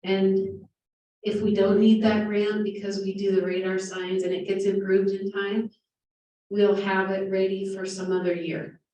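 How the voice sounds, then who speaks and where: A loud, direct woman running a neighborhood meeting and explaining things plainly at length. Her voice comes from across a very echoey community room, with faint room noise underneath. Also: mid-range voice, completely flat delivery, measured pace, distant mic. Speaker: neighborhood district chair. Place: city hall community room. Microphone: handheld mic, from a distance.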